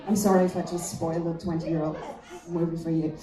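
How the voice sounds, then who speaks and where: A woman, a German speaker, performing a self-deprecating, conversational stand-up routine in English with halting pauses, recorded live in a venue. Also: deep voice, little pitch movement, crowd noise. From Austria. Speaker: stand-up comedian. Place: comedy festival venue, live on stage. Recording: echoey live room recording.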